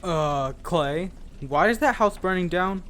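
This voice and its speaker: Higher-voiced eager young squad kid played by a man. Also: animated, slow, a bit bright, a little hiss or hum, heavily acted. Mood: eager, questioning. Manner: casual, questioning.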